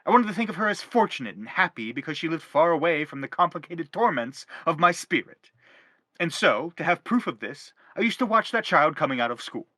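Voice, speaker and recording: Man acting anguished middle-aged father, theatrical, wordy, insistent, sharp bright tone, dry close sound. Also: a little high, very animated, brisk, dry recording, exaggerated voice. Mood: pleading, self-justifying. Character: anguished, self-justifying middle-aged father, pompous and exasperated. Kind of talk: theatrical stage drama acting.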